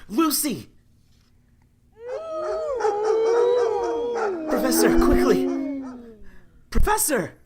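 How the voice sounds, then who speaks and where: An actor playing a troubled, grieving young man, agitated and halting, in vintage radio drama style. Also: extremely high pitch, big pitch swings, raised voice, very noisy, exaggerated voice. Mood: urgent. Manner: agitated, halting, repeating himself.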